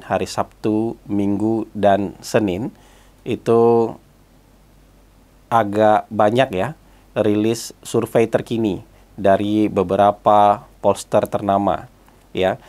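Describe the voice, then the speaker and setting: A man with a stuffy, hoarse voice from a cold, talking through his commentary with lots of "ya" and "eh" fillers. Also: on the low side, darkish tone. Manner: conversational, frequent "ya" and "eh" fillers. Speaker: political commentator hosting his own channel. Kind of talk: solo spoken commentary.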